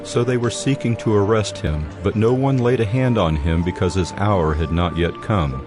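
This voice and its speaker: Deep-voiced man narrating scripture. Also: normal speed. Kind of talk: scripted narration of dramatized Bible. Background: music.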